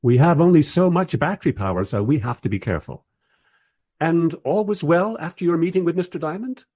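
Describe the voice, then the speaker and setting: Male, inquisitive, well-mannered narrator-interviewer for radio drama. Lively intonation, slightly rough husky tone. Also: a little high, brisk. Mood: wry, observant.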